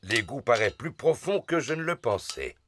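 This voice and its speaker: A man's voice: medium pitch, big pitch swings, normal speed, a bit bright, a little hiss or hum. A man playing an easygoing, genial middle-aged father pig in a children's cartoon. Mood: confident, puzzled. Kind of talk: children's cartoon dialogue, dubbed.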